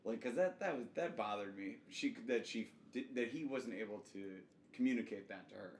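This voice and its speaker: Guy with a low voice chatting on a podcast, slangy and jokey, echoey room. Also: lively intonation, moderate pace. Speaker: podcast co-host. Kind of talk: casual group chat recapping a reality show. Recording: roomy echo, faint background noise.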